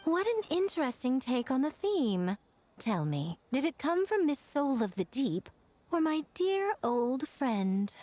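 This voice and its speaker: A woman voicing a poised, teasing, shrewd woman of authority in a video game, measured and playful. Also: sits high, sing-song, a little mellow, full character voice. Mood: amused, knowing. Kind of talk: English video game voice acting.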